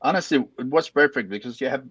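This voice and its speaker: Husky Italian-accented man on a dull call. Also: mid-range voice, expressive, fast talker, fairly bright tone, no echo. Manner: polite, modest, slight grammar slips. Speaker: guest presenter. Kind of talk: casual video call chat.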